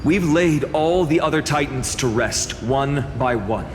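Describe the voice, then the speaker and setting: A man's voice: a little high, expressive, unhurried, a little hiss or hum. A man voicing an earnest, courteous hero in a fantasy game, formal and composed. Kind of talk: scripted fantasy game dialogue. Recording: long hall reverb, music behind.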